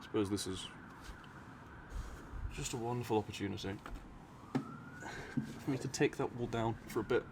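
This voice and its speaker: A man from Europe talking in an unscripted, musing, unhurried ASMR ramble to his listeners. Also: medium pitch. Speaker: audio roleplay creator talking to listeners. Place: by an open window at a desk. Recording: clear city noise with distant traffic. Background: city ambience, vehicles, sirens.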